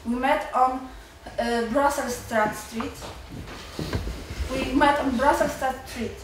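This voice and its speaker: A young woman with a Polish accent, a student giving nervous, halting remarks at a seminar with many restarts. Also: sits high, fairly even intonation, very echoey, recorded from a distance, background noise. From Poland. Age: young adult. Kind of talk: nervous public remarks in a second language.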